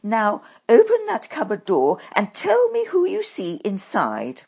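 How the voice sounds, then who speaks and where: This is a scheming stepmother witch from a storybook, bossy and scornful, snapping orders at her daughters, as a woman puts her on. The voice swoops wildly up and down and comes out very husky. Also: mid-range voice, wildly swooping pitch, a little slow, a little mellow, voice pushed up, exaggerated voice. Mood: impatient.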